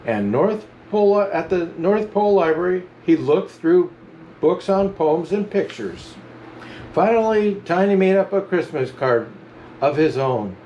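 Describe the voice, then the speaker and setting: A man reading a children's storybook aloud as a Santa storyteller, with animated, chuckling narration. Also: high voice, measured pace, muffled recording, a little hiss or hum. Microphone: camera mic.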